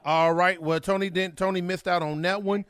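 A man's voice: high voice, steady tone, normal speed, fairly bright tone, clean tone, dry recording. Man introducing callers on radio. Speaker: call screener. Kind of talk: casual radio chat.

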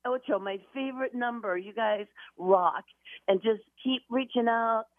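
A woman's voice: a little high, unhurried, no room sound. Scratchy-voiced woman on a phone line. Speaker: caller. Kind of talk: phone call to radio show.

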